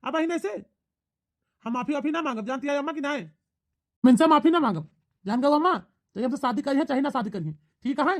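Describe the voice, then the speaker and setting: A man's voice: very high-pitched, animated, fairly quick, long pauses. A man voicing a proud, defensive young husband in a village cartoon, protesting and making excuses with an Awadhi accent. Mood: defiant. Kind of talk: rustic cartoon comedy dialogue.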